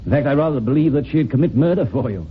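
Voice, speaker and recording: Shrewd radio inspector, lively intonation, a man acting. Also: mid-range voice, natural voice. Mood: explaining. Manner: measured, wry, authoritative. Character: shrewd, unhurried police inspector explaining the case. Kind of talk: old-time radio drama acting. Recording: dull, hissy old radio recording.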